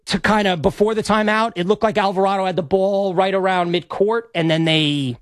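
A male sports radio host in a studio, rambling and excitable as he reacts to a live game, stammering and repeating himself, his voice slightly hoarse. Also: sits high, a little fast, no echo.

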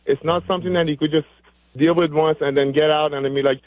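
A man's voice: on the high side, steady tone, fast, no room sound. A man from Iran calling in to a talk radio show, earnest and speaking in long run-on sentences. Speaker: caller. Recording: dry tinny phone line.

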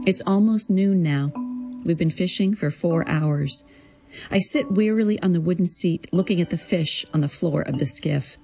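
A woman writer narrating her own memoir in an expressive, deliberate, literary way, acting out the dialogue. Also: deep voice, creaky. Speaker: creative writing teacher. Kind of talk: prepared personal storytelling, narrated memoir.

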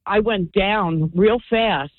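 A woman's voice: medium pitch, slow pace, dry recording. A woman calling in to a radio show, telling a story, chatty with hesitant starts, over the phone. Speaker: caller. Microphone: telephone. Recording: phone line.

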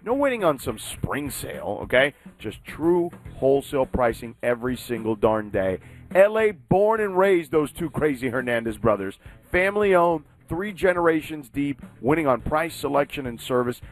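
A man's voice: medium pitch, normal speed. Emphatic sports radio man. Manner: animated, emphatic, salesy in the ad. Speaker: sports talk radio host. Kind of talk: sports talk and live read ad.

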